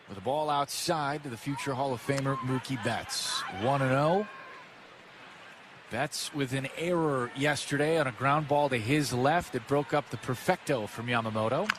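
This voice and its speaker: A man with an American accent calling a baseball game live as a radio play-by-play announcer. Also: fairly high pitch. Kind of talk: live radio sports commentary. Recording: clear crowd and ballpark noise behind. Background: outdoor ballpark ambience.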